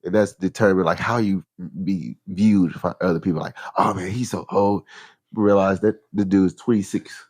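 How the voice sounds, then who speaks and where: A man chats loosely as a podcast co-host, rambling and slangy. His voice sits very low. It sounds dry and close, with no room around it. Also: steady tone, moderate pace, darkish tone, dry recording. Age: 30s. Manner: laid-back, rambling, slangy. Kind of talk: casual chat.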